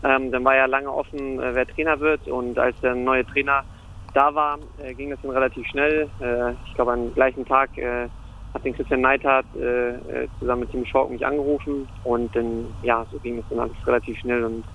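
A young man with a gravelly voice talks in a flat, even line. He answers interview questions about football, hesitating often and filling gaps with fillers. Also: mid-range voice, moderate pace, highs cut off. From Germany (Hamburg). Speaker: football player.

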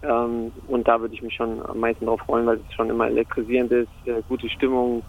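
A young man with a gravelly voice talks in a flat, even line. He answers interview questions about football, hesitating often and filling gaps with fillers. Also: mid-range voice, fairly quick, phone-quality sound. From Germany (Hamburg). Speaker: football player.

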